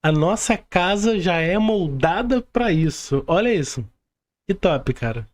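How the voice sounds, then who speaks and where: A Brazilian man with a notably high voice hosts a casual podcast. He talks informally, leaning on repeated words and questions to make his point. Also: animated, measured pace. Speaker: podcast host.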